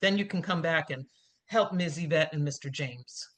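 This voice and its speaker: A woman's voice: low-pitched, normal speed, natural voice. A woman playing a blunt, bossy, worried mother in a screenplay reading. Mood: scolding. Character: scolding, worried mother of a troubled boy. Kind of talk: live staged screenplay reading.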